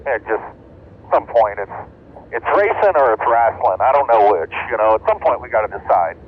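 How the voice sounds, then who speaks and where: A man's voice: mid-range voice, lively intonation, moderate pace, husky. A man talking bluntly and with exasperation over a race team radio. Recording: dull team radio, faint engine noise.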